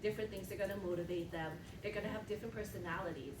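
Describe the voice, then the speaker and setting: A woman leading a team meeting, giving an animated motivational talk that keeps checking in with "right?". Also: mid-range voice, very fast. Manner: animated, often asks "right?". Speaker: team leader. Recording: echoey room from far off, noisy.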